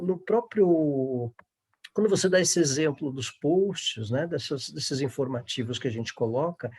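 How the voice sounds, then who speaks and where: A middle-aged Brazilian man talks informally as a panel speaker. His voice sits a little high for a man and is rough with a clearly creaky grain. He explains discursively and keeps checking for agreement. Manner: discursive, often seeking agreement with tags.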